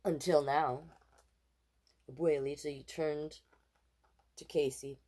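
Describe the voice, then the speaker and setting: A woman reading a children's picture book aloud in an expressive, animated way, with casual asides. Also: deep voice, slow pace. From Canada. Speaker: storytime reader.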